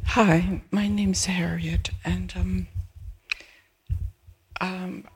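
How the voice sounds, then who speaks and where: Older woman speaking with a gravelly rasp. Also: lowish voice, little pitch movement, very slow. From Peru. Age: 70s. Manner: hesitant, restarting, soft and searching. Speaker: audience member. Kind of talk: halting personal testimony. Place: live event stage.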